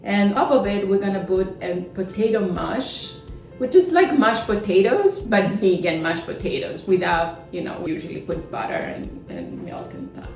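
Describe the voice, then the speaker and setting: A middle-aged woman from Crete, calmly explaining the steps of a home cooking demonstration in a kitchen. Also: mid-range voice, normal speed. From Crete, Greece. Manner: explains steps plainly, small slips in grammar. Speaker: chef and company founder. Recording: echoey kitchen, far from mic, faint music.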